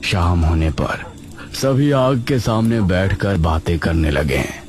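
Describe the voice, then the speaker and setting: A man's voice: low-pitched, lively intonation, slow pace. A man narrating a scripted cartoon story in a dramatic storytelling manner. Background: music.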